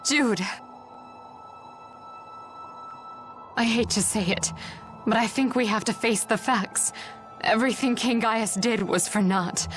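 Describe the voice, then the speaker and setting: A woman's voice: a little high, normal speed, a bit bright, lightly acted. An actress playing a proud, disillusioned noblewoman in an English video game. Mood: resigned. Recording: background music under the voice.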